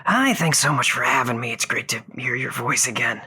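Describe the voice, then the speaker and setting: A woman playing a lovestruck, rambling caller on a comedy radio advice show, hesitant and earnest, with a husky voice. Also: extremely low pitch, lively intonation, fairly quick, sharp tone, full character voice. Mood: awkward, rueful. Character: lovestruck, rambling radio call-in guest, newly divorced puppeteer. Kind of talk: improvised comedy call-in, conversational.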